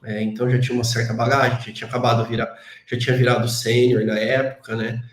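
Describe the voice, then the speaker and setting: A man's voice: mid-range voice, flat delivery, quick pace. A Brazilian man, a guest on an interview show, talking casually with a Brazilian Portuguese accent, tagging his sentences with constant little questions and poking fun at himself. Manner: chatty, constant "né" tag questions, self-deprecating. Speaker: guest, database engineer. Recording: roomy echo.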